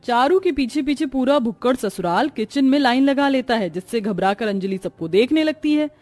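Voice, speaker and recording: Female cartoon story narrator, fairly high pitch, dry studio sound, light music bed. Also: expressive, moderate pace, a little mellow, dry recording.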